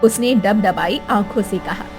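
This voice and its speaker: A woman's voice: mid-range voice, unhurried, over music. A woman narrating a story in a dramatic, scripted storytelling style.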